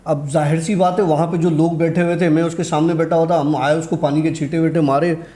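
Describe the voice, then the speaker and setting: A man's voice: fairly high pitch, little pitch movement, a little fast, mellow. A man telling a personal horror anecdote in a conversational way, acting out the dialogue as he goes. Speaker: guest storyteller.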